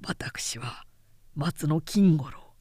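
Audiobook role: grave young male wandering avenger, voiced by a woman, lowered voice, formal samurai diction, proud restraint. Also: lively intonation, dark tone, slightly rough, dry recording, studio quality, heavily acted. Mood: grave, restrained. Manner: formal, measured samurai speech. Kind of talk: audiobook character dialogue.

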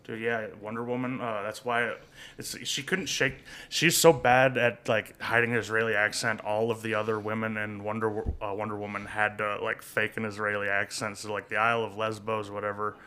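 A man's voice: lowish voice, flat delivery, fairly quick, sharp tone, clear, resonant tone. A man co-hosting a comedy podcast in casual, crude chat, rambling and stumbling over his phrases.

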